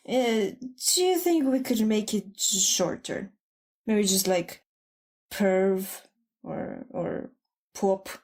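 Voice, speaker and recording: A woman's voice: medium pitch, expressive, very slow, darkish tone, full character voice. A woman playing a jaded, blunt cleaning lady turned news anchor in an audio drama, offhand and muttering. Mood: offhand, dismissive.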